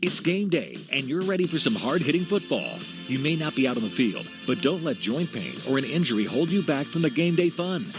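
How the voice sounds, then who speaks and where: A man's voice: mid-range voice, big pitch swings, brisk. An American man reading a scripted sponsor advertisement for radio. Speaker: advertisement announcer. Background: music.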